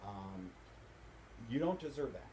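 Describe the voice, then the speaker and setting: A male interviewer talks informally and emphatically, often repeating his phrases to press a point. His voice is mid-pitched, and there is faint noise behind him. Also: lively intonation, slow pace, mellow, low-quality recording. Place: inside a bookstore.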